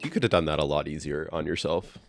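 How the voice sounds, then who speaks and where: A man's voice: extremely low pitch, quick pace, creaky, faint music behind. A young man co-hosting a podcast from a friend's basement, in casual chat with dry, teasing banter. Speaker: podcast co-host.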